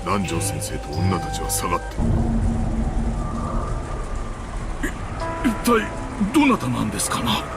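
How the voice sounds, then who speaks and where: A man's voice: low voice, huge pitch swings, fairly quick, exaggerated voice. A man playing a composed, dutiful servant in a game, giving formal, polite orders. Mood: wary. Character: composed, dutiful male servant taking command. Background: game music.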